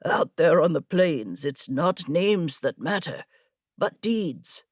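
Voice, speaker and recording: A woman voicing a gruff, wry, weathered old horseman with a creaky voice in an audiobook story. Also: sits low, sing-song, takes it slowly, no room sound, studio quality, full character voice. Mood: wry, weary. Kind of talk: audiobook character dialogue.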